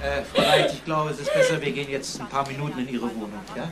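An actor playing a harried, gruff police inspector in a radio crime drama, impatient and authoritative. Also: male voice, mid-range voice, huge pitch swings, quick pace, very noisy. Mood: businesslike.

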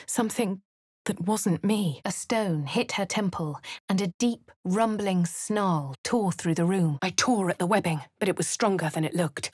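An actress voicing a posh, wry young adventurer woman with an English accent, reading game lines and narration in a crisp, well-spoken way. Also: medium pitch, darkish tone, dry recording, clean studio sound, natural voice. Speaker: player character voice. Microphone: studio mic, dry.

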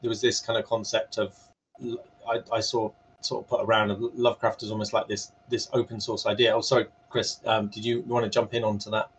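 A man's voice: fairly low pitch, level tone, brisk, husky, poor audio. A man casually moderating a panel on a video call in a tentative, polite way.

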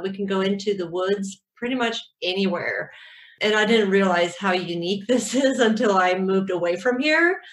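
Roomy-sounding Swedish woman in an interview. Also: mid-range voice. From Sweden. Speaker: guest, author and outdoor advocate. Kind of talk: casual interview chat.

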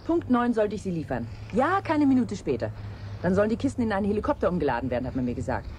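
A sardonic, impatient female delivery driver, played by an actress in a vintage radio drama. Her pitch moves in animated swings as she needles and teases. A faint hiss sits behind the voice. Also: fast talker, natural voice. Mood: brisk, irritated. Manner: wry, teasing, impatient. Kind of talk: 1980s German radio play acting. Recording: hissy vintage radio play recording.

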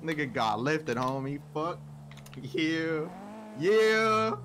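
A man's voice: a little high, big pitch swings, very slow, a bit bright, a little hiss or hum. A young man talking in character during an online roleplay game, boastful, slangy and full of profanity. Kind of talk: casual in-character street banter during gameplay.

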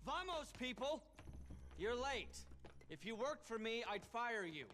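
Pompous self-styled visionary billionaire, thirties, high voice, wildly swinging delivery, indignant, video game cutscene. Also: male voice, very animated, measured pace, sharp tone, slight background noise, heavily acted. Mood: smug, scornful. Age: 30s. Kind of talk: animated game cutscene acting.

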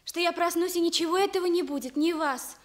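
A woman's voice: very high-pitched, fast talker. An actress playing a shy, dreamy young woman in a Soviet radio play, speaking earnestly. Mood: dreamy, disbelieving. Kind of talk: Soviet radio theatre acting.

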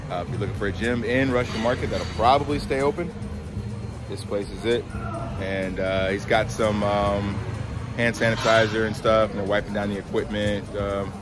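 A man in his thirties talking casually to a phone camera held at arm's length, relaxed and conversational with many fillers. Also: fairly low pitch. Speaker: fitness vlogger. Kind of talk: casual vlog. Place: outdoors on a city street, then indoors at home. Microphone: phone held at arm's length, wireless earbuds.

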